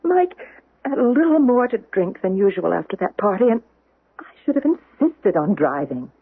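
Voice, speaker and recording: A wistful young widow, with a widely swinging, slightly husky voice. Her delivery is hesitant and dramatic. It comes through the narrow, muffled sound of a 1970s radio drama broadcast. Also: mid-range voice, very animated, normal speed, dry recording. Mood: anguished, guilty. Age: young adult. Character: grieving young widow, a wistful history teacher. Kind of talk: old-time radio drama acting.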